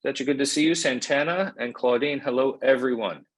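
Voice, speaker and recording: A man hosting an online information session, talking in a relaxed, conversational way with plenty of fillers over a video call. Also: mid-range voice, moderate pace, poor audio. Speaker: associate dean hosting an info session. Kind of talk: webinar presentation and hosting. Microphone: computer mic on a video call.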